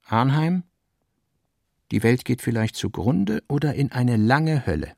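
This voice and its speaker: A grave man narrates a philosophical novel. His voice is mid-pitched with a gravelly creak, recorded close and dry. The delivery is measured and solemn. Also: lively intonation, a little mellow, dry recording. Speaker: radio drama narrator. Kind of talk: literary radio play narration.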